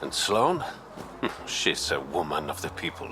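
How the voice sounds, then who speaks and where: A man playing a sly, charming smuggler and informant in a video game, smooth and teasing. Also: rumbling low, big pitch swings, a little slow, full character voice. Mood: wry. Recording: clear background vehicle and ambient noise.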